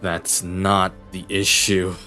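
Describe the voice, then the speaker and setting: A man playing an aloof, eccentric young detective in an anime dub, with a deadpan, theatrical delivery and a weary air. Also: sits low, slow, soft background music, exaggerated voice. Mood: curt, dry.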